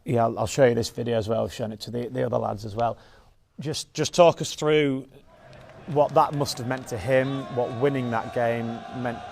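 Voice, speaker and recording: Male interviewer, gravelly mid-pitched voice, conversational, faint background noise. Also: normal speed, a little mellow.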